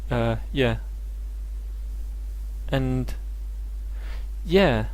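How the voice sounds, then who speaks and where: A man's voice: medium pitch, animated, drawn-out words, low-quality recording. A young man, a native Danish speaker, teaching maths in a casual live tutorial, hesitant and full of fillers and restarts.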